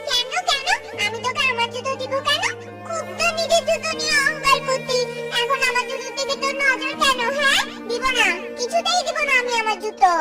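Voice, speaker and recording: A woman voicing a stubborn, excitable little schoolgirl bird in a children's cartoon, whiny and eager. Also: very high voice, fairly quick, far from the mic, loud music behind the voice, voice pushed up. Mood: defiant.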